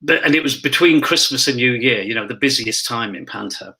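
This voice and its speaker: Bright-voiced older actor, drily theatrical. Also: male voice, mid-range voice, lively intonation, fairly quick, gravelly. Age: 60s. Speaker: actor and pantomime dame, interview guest. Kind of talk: casual anecdotal chat.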